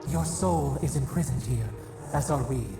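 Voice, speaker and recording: A deep, creaking, solemn spirit. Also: female voice, moderate pace, exaggerated voice. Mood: solemn, pleading. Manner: solemn, cryptic, poetic. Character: eerie, sorrowful imprisoned spirit speaking as a collective. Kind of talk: dramatic video game voice acting. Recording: echoing voice under clear music.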